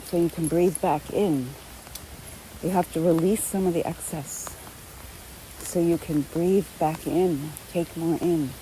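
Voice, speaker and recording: Low, very mellow-voiced woman guiding meditation. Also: fairly even intonation, a little hiss or hum, low-quality recording. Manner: gentle, unhurried, with long pauses between short phrases. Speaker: guided meditation leader. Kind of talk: guided meditation, unscripted spoken instruction.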